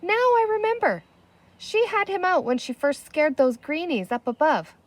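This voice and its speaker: Storybook child, woman performer: sensible wide-eyed girl, earnest, high and expressive. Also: moderate pace, no echo. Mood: wide-eyed realization.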